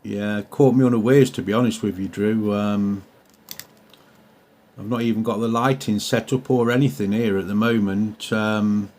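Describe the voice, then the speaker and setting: A man's voice: fairly low pitch, steady tone, normal speed, darkish tone. A man from England with an English accent, chatting casually and a little hesitantly in CB radio jargon. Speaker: amateur CB radio operator. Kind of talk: casual radio contact chat. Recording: faint radio hiss and static.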